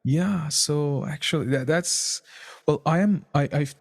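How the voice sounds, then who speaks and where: A man, a composer and music teacher, speaking English as a learned language in a relaxed interview, often pausing to find his words. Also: on the high side, gravelly. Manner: thoughtful, searches for words in a second language. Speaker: composer and music educator, podcast guest. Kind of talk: casual conversation.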